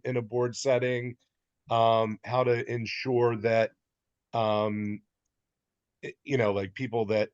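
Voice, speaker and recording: A man speaking as a board chair in an online meeting, putting a suggestion in a halting, deliberate way. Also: medium pitch, no echo. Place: video call. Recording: dull, muffled video-call audio.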